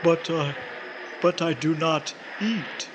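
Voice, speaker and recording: A slow-spoken, mournful Death, acted by a man, courteous and formal, hesitating as he talks. The voice is slightly high, in a faintly noisy radio drama. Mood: hesitant, weary. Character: weary, courteous, formal, melancholy male personification of Death. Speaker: radio actor. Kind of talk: comic old-time-style radio drama.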